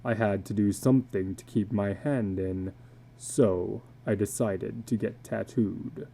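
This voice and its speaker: A man voicing a weary, bitter older tattooed carnival man in a slow, grave, brooding dramatic reading of a story. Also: fairly low pitch, fairly even intonation, gravelly, dark tone, a little hiss or hum, pitched below the performer's own voice. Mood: haunted.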